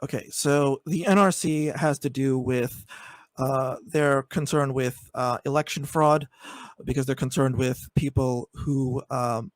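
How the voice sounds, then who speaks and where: Halting, argumentative man on a dry call. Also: mid-range voice, steady tone, no echo. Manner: hesitant, many fillers, builds into pointed argument. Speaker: debate guest. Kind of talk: online debate.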